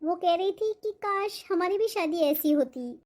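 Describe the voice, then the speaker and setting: A woman's voice: very high voice, expressive, unhurried, a little mellow. A woman voicing a spoilt, showy young rich bride in a Hindi cartoon moral story. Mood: showing off.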